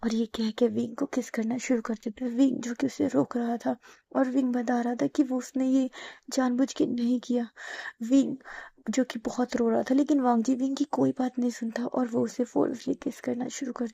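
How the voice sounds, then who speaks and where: Hoarse young woman storyteller. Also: fairly high pitch, flat delivery, normal speed, no room sound, dull audio. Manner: soft, often whispery narration. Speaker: storyteller, fan fiction author. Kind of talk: storybook narration.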